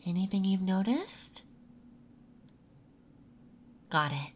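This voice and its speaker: A soft-spoken ASMR performer playing a gentle, calming nurse, speaking in a soothing, unhurried way during a roleplay intake. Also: medium pitch, a little hiss or hum.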